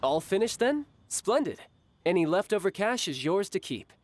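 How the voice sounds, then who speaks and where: An actor playing a suave, scheming banker in a game, amused and smooth. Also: male voice, high-pitched, sing-song, moderate pace, a crowd in the background. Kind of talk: game voice acting. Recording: game audio with music behind.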